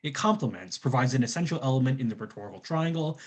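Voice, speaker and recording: A man's voice: mid-range voice, expressive, quick pace. A man reading a scripted academic presentation in formal scholarly wording, often putting an "uh" before phrases.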